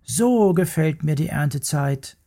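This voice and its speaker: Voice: mid-range voice, lively intonation, unhurried, gravelly, mellow, voice pushed up. A storyteller voicing a small, greedy bear cub who grumbles about work in a children's story. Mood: cheerful. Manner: whiny, sulky. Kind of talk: storybook character voice.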